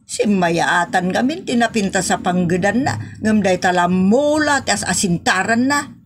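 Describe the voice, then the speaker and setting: A woman's voice: medium pitch, animated, moderate pace, slight background noise, lightly acted. A woman with an Ilocano Filipino accent acting a nagging, exasperated rural wife and mother in a comedy audio drama, complaining in exclamations. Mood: reflective.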